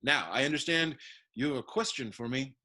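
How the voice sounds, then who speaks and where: A man playing a pompous, evasive political candidate, halting and self-repeating, who swells into grand slogans. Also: medium pitch, pushed and bright, close to an everyday voice. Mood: smug, evasive. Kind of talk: theatrical musical comedy acting.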